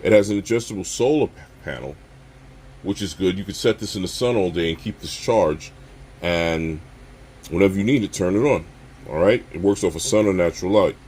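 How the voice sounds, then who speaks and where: A man hosting a casual, unscripted gear review, talking straight to viewers and often checking in with a quick okay or all right. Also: low-pitched, darkish tone, a little hiss or hum. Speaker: survival channel host reviewing gear.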